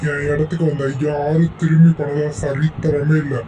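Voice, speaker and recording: Greedy male eagle villain, played by a man, a bit high and monotone, gloating and menacing. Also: normal speed, distant mic, a little hiss or hum, natural voice. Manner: gloating, threatening. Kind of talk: cartoon voice acting.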